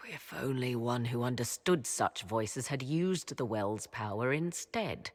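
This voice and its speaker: A woman playing a proud, sardonic mystic adviser in a fantasy game, speaking in a formal, measured and haughty way. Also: very deep voice, animated, heavily acted. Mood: scornful. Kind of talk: dramatic fantasy game acting.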